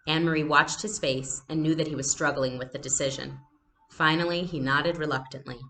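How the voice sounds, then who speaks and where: A woman reading a children's novel aloud as a teacher would, with expressive narration. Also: deep voice, a little fast, a little hiss or hum, low-quality recording.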